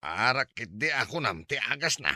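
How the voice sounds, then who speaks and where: A man with an Ilocano accent playing an irresponsible, evasive, hard-drinking father in a comedy drama, complaining in drawn-out excuses. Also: mid-range voice, big pitch swings, sharp tone, no echo, studio quality, exaggerated voice.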